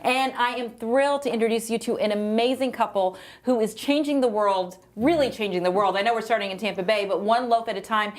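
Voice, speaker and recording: A woman's voice: on the high side, brisk, hardly any pauses. A woman hosting a studio talk show, bubbly and effusive as she introduces and questions her guests. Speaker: host.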